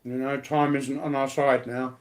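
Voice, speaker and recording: A man's voice: medium pitch, level tone, moderate pace. A man talking in a casual, rambling, colloquial way, often repeating himself. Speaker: speaker sharing personal life news.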